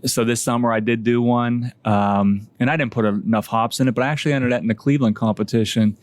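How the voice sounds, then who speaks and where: A man's voice: mid-range voice, fairly even intonation, quick pace, dry recording. A man chatting casually as a guest homebrewer, rambling and self-deprecating, laughing at his own stories. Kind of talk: casual podcast chat.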